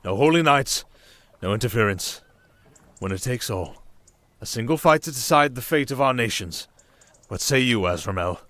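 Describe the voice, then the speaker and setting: A man playing a proud, accusing enemy duke in a fantasy audio drama, theatrical, condescending and deliberate. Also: mid-range voice, animated, fairly bright tone, no echo, lightly acted. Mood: grave challenge. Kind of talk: audio roleplay drama acting.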